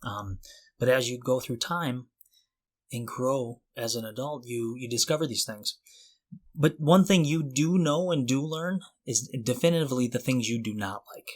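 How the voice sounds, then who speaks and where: A man in his forties with an average-pitched voice chats casually as a podcast co-host. He works through his thoughts out loud, in loose and somewhat hesitant phrases. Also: normal speed. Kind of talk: casual conversational chat.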